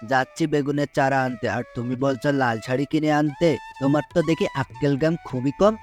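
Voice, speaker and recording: A man's voice: medium pitch, steady tone, fast, darkish tone, natural voice. A man voicing a kind-hearted poor farmer in a cartoon, talking patiently and sometimes grumbling in exasperation. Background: background music.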